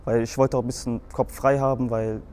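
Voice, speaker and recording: A young man from Frankfurt with a light Hessian accent, recounting his past. He rambles, and the telling is full of hesitations and restarts. His voice sits in an ordinary middle pitch. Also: flat delivery, brisk, darkish tone, slight background noise. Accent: Hessian German. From Germany, Frankfurt. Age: young adult. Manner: rambling, many fillers and restarts. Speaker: guest in a show video. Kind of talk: telling his life story.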